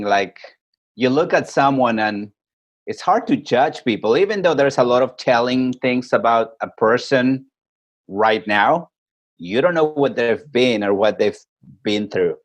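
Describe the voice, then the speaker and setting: A man from Peru, a podcast guest calling in remotely, telling his stories in a reflective, unhurried way. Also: medium pitch. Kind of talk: casual interview chat.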